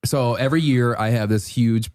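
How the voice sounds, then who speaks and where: Deadpan Wisconsin-accented man, dry close sound. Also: medium pitch, normal speed, no room sound. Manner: rambling, deadpan jokes, frequent restarts. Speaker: comedian guest. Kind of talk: casual podcast banter.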